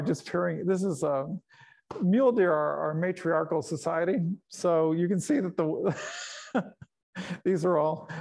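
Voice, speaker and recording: A man's voice: on the high side, gravelly, a little mellow. A middle-aged man who grew up in Panama, giving a casual slide talk about his nature photos, rambling with fillers, restarts and chuckles.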